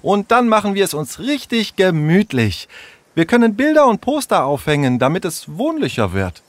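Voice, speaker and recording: A man's voice: sits high, very animated, voice pushed up. A man voicing a cheerful, bossy young girl in a storybook reading, taking charge and handing out jobs. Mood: bossy, eager. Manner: eager, quick to take charge.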